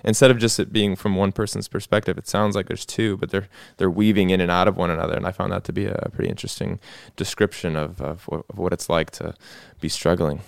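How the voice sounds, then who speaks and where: A man's voice: deep voice, fairly even intonation, fast, vocal fry, few pauses, smooth, no echo. A man, a guest musician, talking casually and thoughtfully on a podcast, with frequent fillers and restarts.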